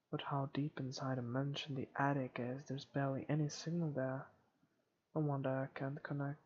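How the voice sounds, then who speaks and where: A man reading visual novel text aloud as a let's player, stumbling now and then and correcting himself as he goes. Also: mid-range voice. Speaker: video game let's player. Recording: dull lo-fi home recording, faint noise.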